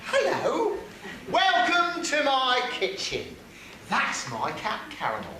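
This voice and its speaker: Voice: high-pitched, huge pitch swings, slow pace, sharp tone, heavily acted. A performer playing a brash, comic pantomime dame, a busy kitchen woman with a broad, chatty manner. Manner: broad, chatty, calls to the audience. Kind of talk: stage pantomime acting. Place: theatre stage, live amateur pantomime. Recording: distant, very echoey, noisy stage recording.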